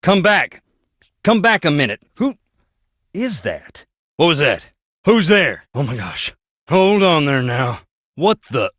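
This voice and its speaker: A man's voice: fairly high pitch, very animated, takes it slowly, pauses often, fairly bright tone, dry recording, studio quality, full character voice. An actor playing a folksy, wry Southern man who hunts ghosts, speaking with a Southern US accent and a drawl in a video game. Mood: alarmed, calling out. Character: folksy Southern ghost hunter, wry, haunted by family losses. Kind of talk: video game character dialogue.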